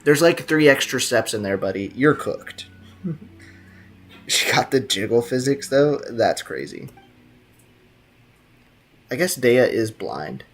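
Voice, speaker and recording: A man's voice: mid-range voice, very animated, slow pace, long pauses, breathy edge, faint music behind. A young man streaming a video game, chatting casually with viewers in a laid-back, slangy way and laughing often. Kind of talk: casual chat reading live chat.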